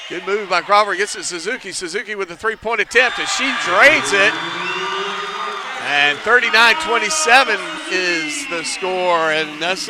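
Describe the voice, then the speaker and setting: A man calling a basketball game live from an indoor arena, chatty, making joking asides about himself and rising to near shouts on big plays. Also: high-pitched, noisy background. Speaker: play-by-play commentator.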